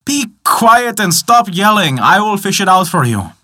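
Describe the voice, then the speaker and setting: A man voicing a very old, brusque but generous man in a storybook tale, loud and commanding. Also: fairly high pitch, very animated, normal speed, voice pushed up.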